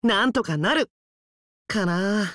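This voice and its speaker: A man's voice: high-pitched, lively intonation, slow pace, pushed and bright, dry recording, clean studio sound, exaggerated voice. An actor playing an earnest, friendly young male space-faring hero in a game, boyish and politely upbeat. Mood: earnest, thoughtful. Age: young adult. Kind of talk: Japanese RPG character voice lines.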